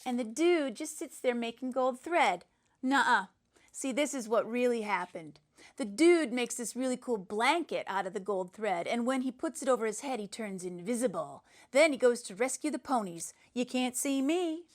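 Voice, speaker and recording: A woman's voice: a little high, animated, moderate pace, natural voice. A woman doing a brash, scornful schoolboy. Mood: boastful, excited. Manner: blunt, slangy, boastful. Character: brash, scornful schoolboy telling a macho action tale.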